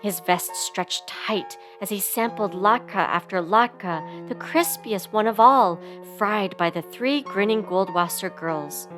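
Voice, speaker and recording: Expressive woman reading a storybook over music. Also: mid-range voice, takes it slowly, hardly any pauses. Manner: theatrical emphasis on sound-effect words. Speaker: storybook reader. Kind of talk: expressive scripted children's read-aloud. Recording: music playing behind the voice. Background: instrumental music.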